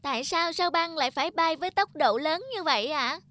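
A woman's voice: high voice, a little fast, fairly bright tone, dry recording. A woman voicing an eager, wide-eyed little star child in a children's cartoon, earnest and full of exclamation. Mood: curious, amazed. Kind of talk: Vietnamese children's cartoon dubbing. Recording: music behind the voice.

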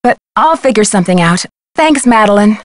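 A woman's voice: mid-range voice, lively intonation, brisk, no room sound, lightly acted. An actress playing a plucky, determined young female amateur detective in a video game, polite and self-assured. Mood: polite, businesslike.

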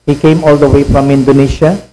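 A man's voice: medium pitch, flat delivery, brisk, mellow, poor audio. A man, the church pastor, introducing a guest speaker from a pulpit mic in a church, reading out a biography with small uncertain asides. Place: church sanctuary. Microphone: stand mic at pulpit.

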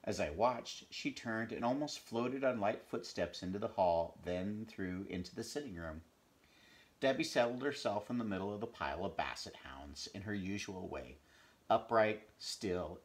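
An older man reads a storybook aloud in a measured, expressive way, recorded at home in a small room. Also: on the low side. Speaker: storybook reader. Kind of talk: read-aloud storybook narration. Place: small home bedroom, indoors.

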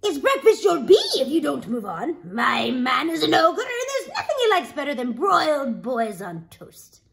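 A woman voicing a great big ogre's wife in a storybook, brisk, bossy and emphatic. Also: high voice, big pitch swings, gravelly, a loud crowd, pitched above the performer's own voice, full character voice. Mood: warning.

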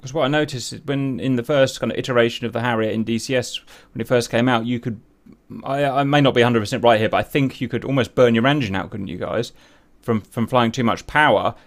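A man hosting an interview, asking curious questions and backing the guest with quiet acknowledgements. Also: medium pitch, fast. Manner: curious, many quiet "mm-hmm" backchannels. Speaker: interview host.

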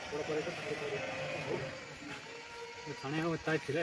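A young man vlogging outdoors in a pine park, chatting and giving quick instructions while setting up a tent. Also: a little high, little pitch movement, over loud music, low-quality recording. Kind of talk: casual chat while pitching a tent.

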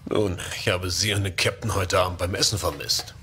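A man's voice: sits low, fairly even intonation, a little fast, pushed and bright, husky, heavily acted. A man playing a genial ship's doctor in a science-fiction radio play. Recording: music behind the voice.

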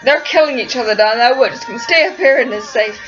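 Giggly high-voiced young English woman. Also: fast talker, music in the background. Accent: English, little Liverpool. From England, born in Liverpool. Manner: giggly, scatterbrained, talks to her cat in baby talk. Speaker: vlogger answering viewer questions. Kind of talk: casual webcam Q&A chat. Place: small indoor room, seated against a wall. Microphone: webcam at arm's length. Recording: dull lo-fi webcam sound.

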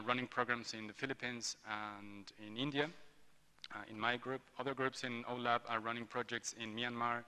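Man from mexico, forties, bright tense voice, conference table mic, reverberant ballroom. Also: mid-range voice, clear, resonant tone. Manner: hesitant, many ums and restarts. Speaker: conference speaker, industrial designer. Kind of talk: panel presentation. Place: large hotel ballroom roundtable. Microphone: table gooseneck mic. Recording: long hall reverb.

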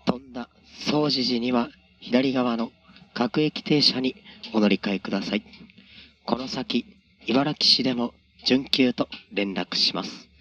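A man's voice: mid-range voice, unhurried, fairly bright tone, faint music behind. A man working as a train conductor, giving formal, routine announcements in set phrases over the train's address system. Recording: dull lo-fi train PA.

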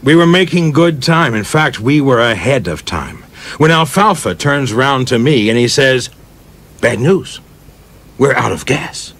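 Guy acting a showy young movie director in a radio play, husky, big swooping delivery, wordy and grand. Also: mid-range voice, animated, measured pace, a bit bright. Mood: breezy, boastful. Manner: theatrical, florid, wordy, teasing. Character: flamboyant, grandiose young film director spinning tall tales.

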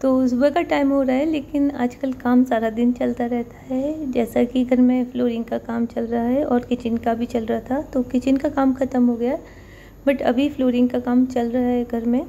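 A woman in her thirties chats through a home vlog in a level, unhurried way. Her voice sits a little high but mellow, with little rise and fall. She records on a phone indoors, so the sound is slightly dull. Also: gravelly. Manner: chatty, explains daily chores at an even pace. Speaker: vlogger. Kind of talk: casual home vlog narration. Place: apartment room, indoors. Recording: slightly dull phone audio with faint room noise.

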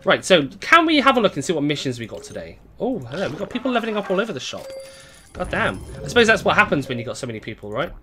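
A young man with a hoarse, fading voice, chatting casually to viewers as he live-streams a game at home on a desk mic. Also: on the high side, huge pitch swings, quick pace, pushed and bright, soft background music. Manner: chatty, greets viewers, reads chat aloud. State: hoarse, voice going.

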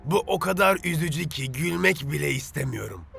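An actor playing a mocking cartoon footballer with a teasing, drawling manner. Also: male voice, a little high, animated, a little fast, gravelly, pushed and bright, slight background noise, full character voice.

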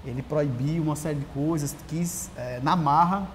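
A Brazilian man in his thirties, a writer and guest, talking in a casual interview with lively storytelling and many restarts, recorded on a lapel mic in a large empty auditorium. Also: on the high side, moderate pace. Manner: animated storyteller, frequent restarts. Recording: long hall reverb, faint hiss.

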